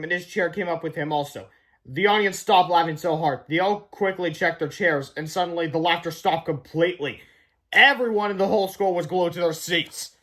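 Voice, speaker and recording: A man narrating a children's book aloud in an expressive, emphatic storytelling way. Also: sits high. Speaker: read-aloud reader.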